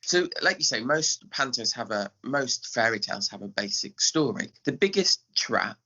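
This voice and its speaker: A man's voice: mid-range voice, lively intonation, normal speed, creaky, sharp tone. An English man speaking as an interview guest, explaining things in a chatty, informal way. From Skegness, England. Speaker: podcast guest, theatre director. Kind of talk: casual explanatory chat. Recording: dull, lo-fi audio.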